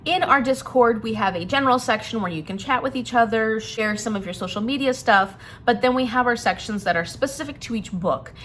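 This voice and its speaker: An enthusiastic woman hosts a book channel in a casual, chatty way. Her voice sits at a medium pitch for a woman. There is noticeable room noise behind her as she talks to viewers. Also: a bit bright.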